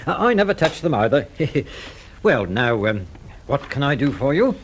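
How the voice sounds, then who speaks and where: An actor playing a nervy, over-genial English factory boss in a radio drama, hesitant and flustered. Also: male voice, mid-range voice, big pitch swings, normal speed, slight background noise. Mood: over-genial, nervous. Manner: hesitant, flustered, chuckling nervously. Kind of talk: mid-century British radio drama acting.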